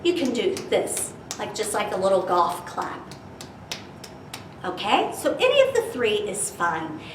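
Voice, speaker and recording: A woman reading aloud as a children's storyteller, expressive and dramatic, talking to young children. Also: medium pitch, unhurried. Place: indoor room, virtual storytime. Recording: roomy, distant mic with background noise.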